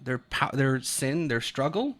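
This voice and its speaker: A man's voice: medium pitch, very animated, measured pace. An American man from Utah giving unscripted, opinionated video commentary in an emphatic, argumentative and casually profane way. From Utah, United States.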